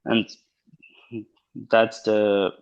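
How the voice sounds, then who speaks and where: A man's voice: fairly low pitch, completely flat delivery, very slow, clear, resonant tone, highs cut off. A man in his thirties with an Armenian accent, a linguist answering interview questions in a hesitant, thoughtful way with many fillers. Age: 30s. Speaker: linguist guest. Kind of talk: casual interview over a call.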